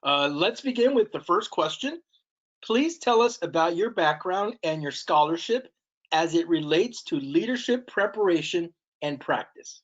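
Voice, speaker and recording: A man's voice: on the high side, expressive, a little slow. A young man hosting a podcast interview, reading a formal scripted introduction and asking questions carefully. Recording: dull lo-fi audio.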